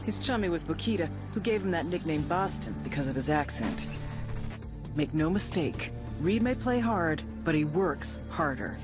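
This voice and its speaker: A woman's voice: low voice, animated, normal speed. A hard-nosed agent narrates a mission briefing over music. She is businesslike and dryly wry, in the manner of a military game cutscene.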